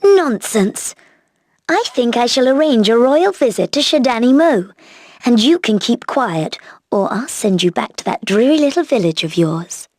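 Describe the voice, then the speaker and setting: An actress playing a headstrong, haughty young princess in a video game. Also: female voice, a little high, lively intonation, measured pace, darkish tone, no echo. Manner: haughty, impulsive. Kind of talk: video game cutscene acting.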